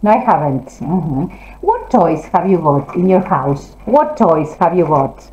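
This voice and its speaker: A woman teaching English to children, encouraging them and gently correcting their answers in an interactive classroom lesson. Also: lowish voice, sing-song, unhurried. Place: classroom, indoors. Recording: roomy, slightly dull classroom audio.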